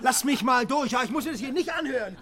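A man's voice: very high voice, expressive, fast, a crowd in the background. An actor playing a defensive, indignant male union man who argues insistently. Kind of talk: radio play dialogue.

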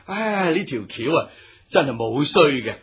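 An actor playing a fawning crony in a Cantonese radio drama, husky-voiced, with ingratiating drawn-out exclamations. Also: male voice, fairly high pitch, very animated, fairly bright tone, full character voice. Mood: admiring, sly.

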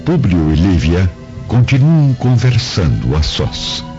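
A man's voice: low-pitched, big pitch swings, slow. A man narrating a radio drama in a dramatic, scripted storytelling style. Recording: muffled recording with music bed.

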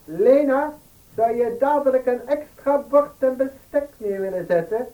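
An actor playing a deferential baker eager to please in a Dutch radio play, unhurried and obsequious, recorded at a distance. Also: male voice, very high-pitched, narrow, tinny audio, soft background music. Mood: flattering. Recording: distant from the microphone.

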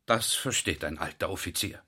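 An actor playing an old military officer, speaking deferentially and in a measured way in a radio drama. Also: male voice, low-pitched, bright, forceful tone, husky, exaggerated voice. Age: older.

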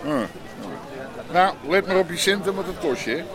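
An actor playing a streetwise, blunt crime boss in a radio drama. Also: male voice, high-pitched, moderate pace, a crowd in the background, natural voice. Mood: gruff friendly. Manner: blunt, streetwise, chummy-threatening. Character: tough old-style Amsterdam underworld boss, middle-aged man.

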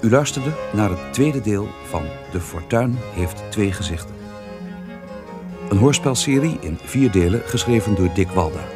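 A man announces radio play credits in a low voice. His delivery is formal and scripted, with violin music clearly playing behind him. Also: expressive, measured pace. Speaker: radio drama closing announcer.

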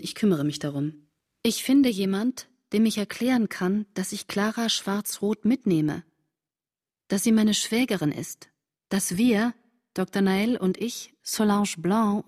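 An actress plays a shaken female diarist in a radio drama. Her mid-pitched voice is intense, and she delivers literary narration and dialogue in short, broken phrases. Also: measured pace, darkish tone, close to an everyday voice. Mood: agitated, urgent. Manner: terse, fragmentary sentences, intense. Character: shaken woman writing diary of friend's return from camp.

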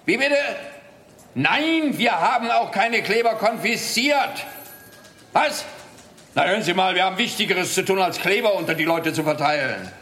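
Grumpy overworked police officer, tense and sharp, indignant, for a radio crime comedy. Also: male voice, high-pitched, animated, moderate pace. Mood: exasperated, indignant. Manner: indignant, sardonic, complaining. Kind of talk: German-language radio crime comedy acting.

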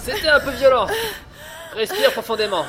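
A man's voice: extremely high pitch, big pitch swings, moderate pace, crowd noise, full character voice. A man playing a kindly, eager inventor and devoted brother in an audio drama, chatty and a little hesitant. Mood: excited, caring.

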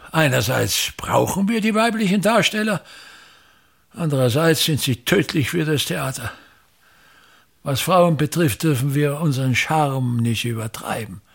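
An older actor with an Austrian accent playing a pompous, tyrannical actor-manager in a radio drama, grumbling in a declamatory way with drawn-out emphatic words. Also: male voice, on the high side, gravelly, a bit bright, husky, heavily acted. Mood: scornful, declamatory, then self-important. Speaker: stage actor in a radio play. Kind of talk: theatrical radio drama monologue.

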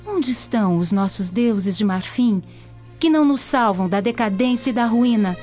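An actress playing a devout young Roman woman in a radio drama. Her voice is fairly high, delivering earnest, declamatory speeches with reverence. Slightly muffled sound, faint music behind. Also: animated, moderate pace, darkish tone, close to an everyday voice. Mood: fervent. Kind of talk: radio soap opera acting. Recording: dull lo-fi audio with faint music.

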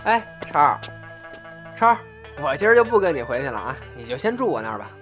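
Casting: man playing a young male apprentice comic, grumbly but shy, highly expressive pitch, audio drama with music bed. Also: fast talker. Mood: persuading. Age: young adult. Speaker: crosstalk apprentice. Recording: background music under the voice.

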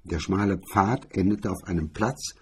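A man's voice: low-pitched, normal speed, husky. A man narrating a scripted crime story as its reader.

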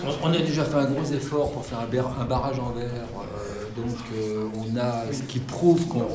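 A man's voice: medium pitch, steady tone, normal speed, close to an everyday voice. A man playing an earnest, self-satisfied engineer in a satirical radio fiction, speaking in a hesitant, defensive, stammering way. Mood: flustered. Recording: echoey room with crowd murmur.

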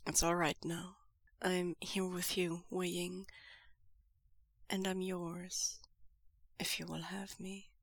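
A woman voicing a reserved, steady young man who speaks low, softly and tenderly. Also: vocal fry, dry recording, clean studio sound, exaggerated voice. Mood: quiet tender. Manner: low, hushed and measured.

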